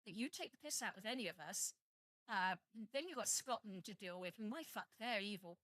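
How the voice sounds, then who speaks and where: A woman's voice: mid-range voice, lively intonation, fairly quick, fairly bright tone, no room sound. Young British woman, streamer, dry close sound, joking chatty manner. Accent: British English. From Britain, half Welsh and half English. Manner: chatty, joking, swears freely. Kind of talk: casual live-stream chat with viewers.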